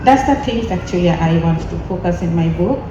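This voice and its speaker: A woman author on a panel, speaking haltingly and searching for words. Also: fairly low pitch, animated. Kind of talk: panel discussion answer. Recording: echoey hall, lo-fi with noise.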